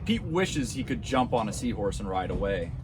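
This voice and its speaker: A man reading a children's storybook aloud outdoors in an expressive way, with simple phrasing for young children. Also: normal speed. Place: outdoors at a coastal snorkel reef. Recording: noisy outdoor recording with ambient rumble.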